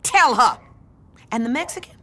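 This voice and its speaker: An actress playing a hard, bitter middle-aged American aunt who works as a supervisor, scolding and commanding in a naturalistic radio play. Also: female voice, fairly high pitch, expressive, moderate pace, fairly bright tone. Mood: harsh contempt. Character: hard, bitter older aunt, jaded detention-center supervisor.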